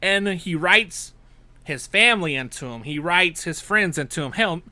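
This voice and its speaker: A man hosting a casual podcast chat, animated and laughing as he steers the conversation. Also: high-pitched, moderate pace, no room sound. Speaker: podcast host.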